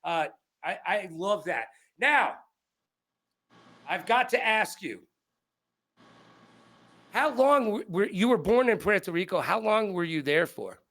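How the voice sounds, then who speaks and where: A male interviewer with a notably high voice and a bright, punchy tone. He hosts a casual sports chat and talks in chatty, repetitive bursts. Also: sing-song, slow, long pauses. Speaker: sports interview show host.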